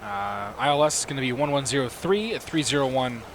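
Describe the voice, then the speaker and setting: A hoarse man hosting a livestream, chatting casually and talking himself through each cockpit step as he flies a simulator. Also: mid-range voice, animated, fairly quick, slight background noise. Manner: talks himself through cockpit steps, chats with viewers. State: losing his voice, hoarse. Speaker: livestream host. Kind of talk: casual commentary while flying a simulator.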